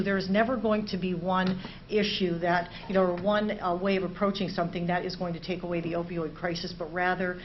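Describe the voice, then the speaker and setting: A middle-aged woman, a state legislator, giving formal remarks to a city council in a measured way with many "uh" fillers. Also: on the low side. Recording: slightly dull meeting recording, faint hiss.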